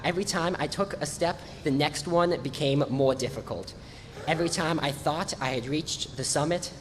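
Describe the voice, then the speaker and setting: A teenage boy with a congested voice, reading a prepared graduation speech in an earnest, measured way from a stage microphone. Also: medium pitch. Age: teens. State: congested from allergies. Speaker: graduating student speaker. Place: large hall or gym, on stage. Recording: echoey hall with faint noise.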